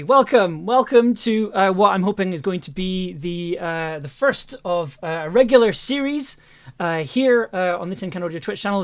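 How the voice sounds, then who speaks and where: A woman hosting a casual livestream over an online call, often hesitating and repeating herself. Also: fairly low pitch. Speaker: host.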